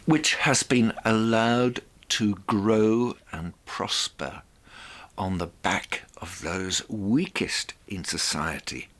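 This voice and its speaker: A man presenting a reflective monologue in a theatrical, deliberate way, with rhetorical asides. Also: lowish voice, a bit bright.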